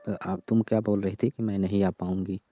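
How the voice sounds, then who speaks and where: A man's voice: on the low side, flat delivery, fairly quick, warm tone, dry recording, lightly acted. A man playing a sulky, distrustful young repairman who grumbles and complains in a staged comic phone call. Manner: complaining, grudging. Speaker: heater repairman. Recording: dull, dry lo-fi phone recording.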